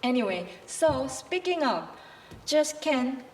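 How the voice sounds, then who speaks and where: A woman who is not a native English speaker talks slowly and carefully, restarting her sentences as she searches for words. Her voice sits a little high and comes from some distance off the mic. A faint hum of room noise lies beneath her. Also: very animated, darkish tone. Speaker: panelist. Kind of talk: panel discussion answer.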